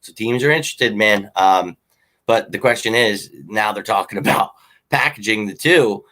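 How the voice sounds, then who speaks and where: Slightly hoarse, rambling male fan host. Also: fairly low pitch, moderate pace, pushed and bright. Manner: rambling, lots of ums and uhs; opinionated, fan-like. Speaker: host of a sports fan show. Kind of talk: casual sports talk with viewer comments.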